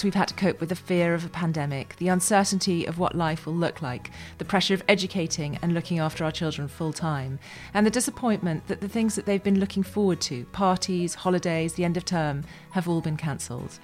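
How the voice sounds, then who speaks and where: Lowish-voiced woman podcast host. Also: fairly quick, hardly any pauses, faint music behind. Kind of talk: scripted intro then casual interview.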